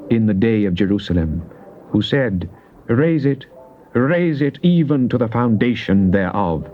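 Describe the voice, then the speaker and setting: An actor playing a fervent psalmist, solemn and theatrical in a dramatized Bible reading. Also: male voice, lowish voice. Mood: grim. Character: fervent psalmist addressing God in prayer and lament. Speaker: scripture reader. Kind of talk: dramatized Bible reading, British stage delivery. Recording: muffled, noisy old cassette tape.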